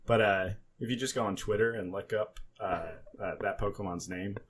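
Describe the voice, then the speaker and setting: A man's voice: lowish voice, fairly even intonation, moderate pace, slight background noise. A man co-hosting a casual podcast, telling rambling, joking stories peppered with swearing. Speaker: podcast co-host.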